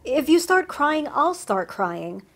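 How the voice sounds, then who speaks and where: A woman's voice: high voice, very animated, slow pace, fairly bright tone, full character voice. A woman voicing a friendly male woodland cryptid, an ancient creature with rotting flesh who chats and reassures with wry asides. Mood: chatty. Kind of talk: scripted storytelling monologue.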